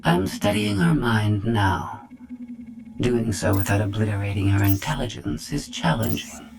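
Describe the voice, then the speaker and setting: A woman's voice: rumbling low, animated, unhurried, gravelly, background noise, exaggerated voice. A woman voicing a cold, superior female droid in a video game, precise and detached, with synthetic processing. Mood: cold, clinical. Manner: precise, detached, clinical, condescending.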